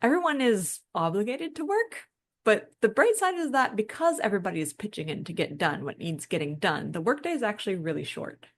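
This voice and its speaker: A woman's voice: mid-range voice, animated, brisk. A woman co-hosting a podcast, explaining and discussing things in an informal, conversational way. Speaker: podcast co-host.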